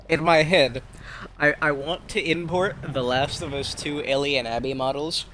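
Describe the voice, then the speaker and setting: A man's voice: fairly high pitch, lively intonation, measured pace, sharp tone, slight background noise, low-quality recording. A young man chatting casually over his own gameplay, rambling and wry, poking fun at himself and at other players. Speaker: game streamer.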